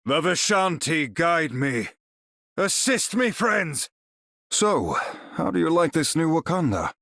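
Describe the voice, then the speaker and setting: An actor playing a proud, battle-ready sorcerer hero in a video game, speaking in a grandiose, formal, theatrical manner. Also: male voice, a little high, sing-song, takes it slowly, fairly bright tone, dry recording, clean studio sound, heavily acted. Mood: commanding, urgent. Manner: grandiose, formal, incantatory delivery. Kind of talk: video game character voice lines.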